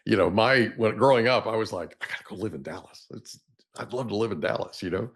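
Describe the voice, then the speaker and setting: A man's voice: lowish voice, fast, husky. A middle-aged American man from Oklahoma hosting an interview podcast in a casual, chatty, folksy way that wanders into anecdotes. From Oklahoma, United States. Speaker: podcast host.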